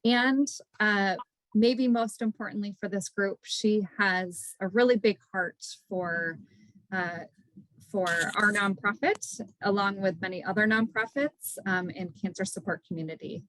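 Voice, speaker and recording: A woman's voice: medium pitch, unhurried, a bit bright, dry recording, rough recording. A woman hosting an online event, giving an informal spoken introduction of a speaker. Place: online video call.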